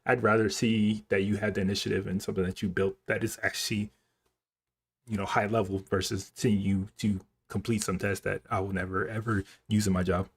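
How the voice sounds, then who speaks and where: A man's voice: fairly low pitch, little pitch movement, fairly quick, breathy edge. A man livestreaming in an informal, slangy way, thinking aloud as he chats with viewers. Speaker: live coding streamer. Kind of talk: casual livestream chat, reading and answering viewer comments.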